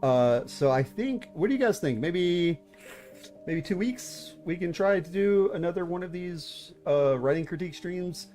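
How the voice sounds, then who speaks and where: A man's voice: high-pitched, lively intonation, a little slow, darkish tone, soft background music. A man in his thirties hosting a live stream, chatting casually with viewers, hesitant with many fillers and restarts. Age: 30s. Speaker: VTuber streamer hosting writing critiques.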